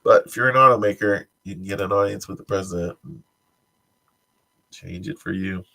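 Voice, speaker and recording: Low gravelly congested man. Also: moderate pace, bright, forceful tone, rough recording. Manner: dry, wry asides between stumbling read-outs. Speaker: stream host. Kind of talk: reading tech news aloud with offhand commentary.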